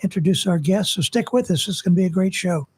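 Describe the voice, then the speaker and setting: A hoarse man co-hosting a live talk radio show, halting and joking about his voice. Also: high voice, heavy background noise.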